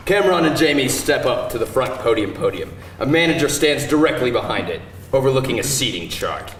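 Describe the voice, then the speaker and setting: Male stage-direction narrator for a table read, varied pitch, echoey and off-mic. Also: lively intonation, fairly quick. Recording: distant mic, echoey live room, faint noise.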